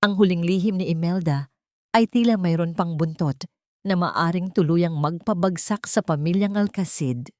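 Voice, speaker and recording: A woman's voice: fairly low pitch, moderate pace, warm tone, dry recording, studio quality. A woman with a Filipino accent narrating a dramatic audiobook story from a script.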